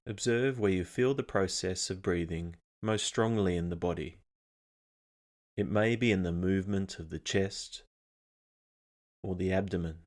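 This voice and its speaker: A man leading a scripted guided meditation in a calm, measured, instructional manner. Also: low voice.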